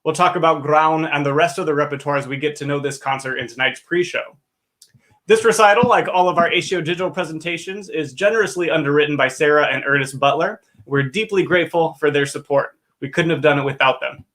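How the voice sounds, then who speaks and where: A man's voice: a little high, quick pace, fairly bright tone. A man hosting a livestream pre-show for an opera company, presenting from a script in an upbeat, enthusiastic way. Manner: upbeat, enthusiastic, clear announcing.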